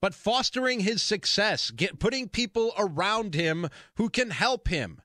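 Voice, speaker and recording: Sports talk host, male, high-pitched and punchy, emphatic and opinionated, dry studio mic. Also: animated, unhurried, bright, forceful tone, no echo. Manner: emphatic, insistent, repeating phrases for stress. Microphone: close broadcast studio mic.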